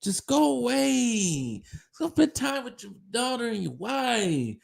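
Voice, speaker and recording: A man giving a mocking, scornful basketball rant, his voice going hoarse. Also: very high-pitched, sing-song, slow. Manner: mocking, scornful, pleading in jest. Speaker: sports commentator. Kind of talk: ranting basketball commentary.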